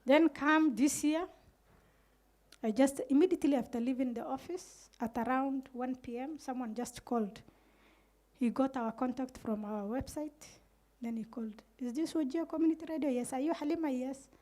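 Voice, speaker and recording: Middle-aged Kenyan Somali woman, high-pitched, earnest panelist telling her story, handheld mic indoors. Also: moderate pace, darkish tone. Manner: earnest, recounts events with quoted dialogue. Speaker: community radio manager on a panel. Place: indoor room with curtains. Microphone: handheld microphone.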